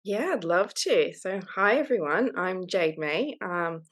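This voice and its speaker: Australian woman, 30s, lower register, frank and open interview guest. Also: animated, a little slow, a bit bright. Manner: candid, open, laughs easily. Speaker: guest author. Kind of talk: casual interview conversation.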